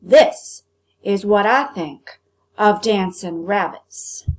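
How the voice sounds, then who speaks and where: A woman voicing a big, angry male diner owner in a storybook, with forceful, clipped demands. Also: mid-range voice, slow, close to an everyday voice. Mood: menacing.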